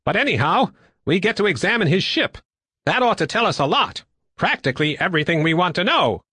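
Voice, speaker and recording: A man reading an audiobook and voicing a brisk, excitable, blunt and curious pilot with emphatic delivery. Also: high voice, husky, no room sound, voice pushed up, full character voice. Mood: eager. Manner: exclamatory, emphatic. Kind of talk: audiobook character dialogue.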